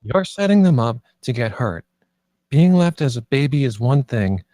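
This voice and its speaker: A wary young leader of orphaned friends , read by a man. The voice is mellow and middle-pitched, with a questioning, conversational delivery suited to a film script read-through. The sound is close and dry. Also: very animated, moderate pace, no room sound, lightly acted. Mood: worried, challenging. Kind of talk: table read of a screenplay.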